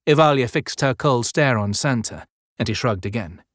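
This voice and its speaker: A man's voice: mid-range voice, animated, normal speed, no room sound, clean studio sound. A man narrating scripted fiction as an audiobook storyteller.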